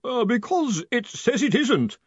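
A man voicing a nervous, apologetic male underling in an audiobook, hesitant and quavering, with a squeaky voice. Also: big pitch swings, normal speed, fairly bright tone, no echo, dull audio. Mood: squeaky nervousness. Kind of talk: audiobook character voice.

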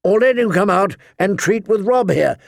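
A man performs a defiant knight in a fantasy audiobook, one of a lord's bannermen. His voice is pitched well up and insistent. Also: lively intonation, moderate pace, creaky, no echo, studio quality.

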